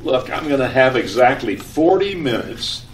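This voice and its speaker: In a roomy, distant recording, an actor plays a fretful husband from an old radio comedy. He stammers his objections in a very animated, swooping voice, easily panicked and thoroughly exasperated. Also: mid-range voice, moderate pace, a little hiss or hum, lightly acted. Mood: impatient, protesting. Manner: sputtering, protesting, stammering. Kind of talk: old-time radio domestic comedy acting.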